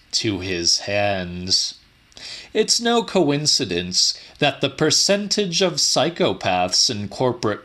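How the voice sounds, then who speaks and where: A male narrator reads weird fiction as a dramatic audiobook. His voice is fairly low yet forceful and bright, and his delivery is very slow and deliberate.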